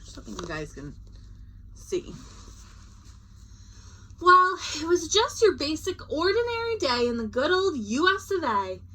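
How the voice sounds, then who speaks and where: A woman reads to children as a teacher would, chatting casually between passages. Her voice is slightly high and bright, with lively, expressive swings in pitch. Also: rough recording. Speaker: teacher reading aloud. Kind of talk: children's read-aloud with casual asides.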